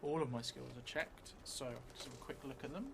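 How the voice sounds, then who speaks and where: A middle-aged man thinking aloud as he plays a solo tabletop game, halting and hesitant, often trailing off mid-thought. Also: medium pitch, fairly even intonation, gravelly, background noise. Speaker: solo tabletop role-player streaming a game. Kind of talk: thinking aloud while playing a journaling game. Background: music.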